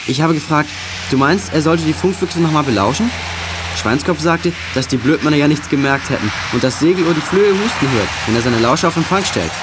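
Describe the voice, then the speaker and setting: A man's voice: a little high, lively intonation, fairly quick, background noise, lightly acted. A young-sounding male actor playing an eager, watchful boy detective in a children's audio drama. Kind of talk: German children's radio play acting.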